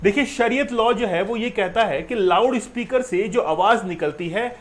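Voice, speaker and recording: Male explainer-show presenter, high-set voice, emphatic teacherly delivery, code-mixes English. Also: moderate pace. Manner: emphatic, teacherly, asks and answers own questions; mixes English words into speech. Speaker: presenter of an educational current affairs show. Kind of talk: explanatory news commentary to camera.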